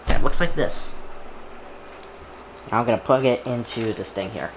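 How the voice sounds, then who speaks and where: A man with a medium-pitched voice presents an unboxing in a casual, informal way. He describes each small step aloud as he handles things. The home camera recording carries clear background noise. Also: normal speed. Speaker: hobbyist video creator presenting an unboxing. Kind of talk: casual hands-on unboxing commentary.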